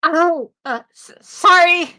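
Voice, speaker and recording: A woman's voice: extremely high pitch, slow pace, sharp tone, full character voice. A woman voicing a perky, polite teenage girl assistant in a video game, eager and earnest. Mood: flustered. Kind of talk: live fan voice-over of a video game.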